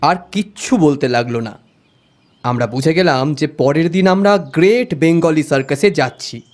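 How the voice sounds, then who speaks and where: A man's voice: a little high, normal speed, soft background music, natural voice. A man narrating an audio story expressively in the first person, as the detective's friend. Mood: suspenseful.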